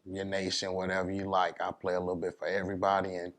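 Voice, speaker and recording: A middle-aged American man with a fairly low voice talks casually in an interview. He is laid-back and plain-spoken, like a DJ recounting his working life. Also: monotone, fast. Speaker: DJ, interview guest.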